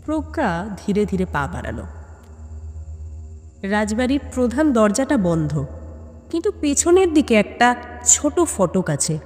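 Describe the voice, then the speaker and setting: A woman's voice: medium pitch, darkish tone, big-room sound. A woman reading a scripted suspense story as narrator, with a dramatic, suspenseful delivery.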